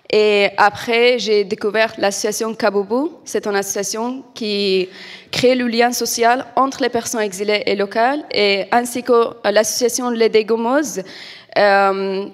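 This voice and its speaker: Young woman from Afghanistan, halting speech. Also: on the high side. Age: young adult. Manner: careful, halting, many fillers. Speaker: award winner, football coach. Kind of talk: acceptance speech. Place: event studio stage. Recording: reverberant hall.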